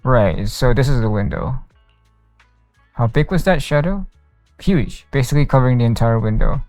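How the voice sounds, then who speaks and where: A man's voice: medium pitch, animated, normal speed, darkish tone, no echo. A man streaming a video game, casually commenting and reading dialogue aloud into a close mic with game music behind.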